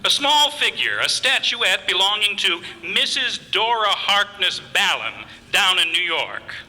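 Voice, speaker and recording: A man acts out a fussy, excitable insurance man for a radio play. His voice is high and very bright, swooping as he frets in prim, emphatic phrases. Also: unhurried, full character voice. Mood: fussy, earnest. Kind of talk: old-time radio detective drama acting. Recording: echoey hall, live stage performance.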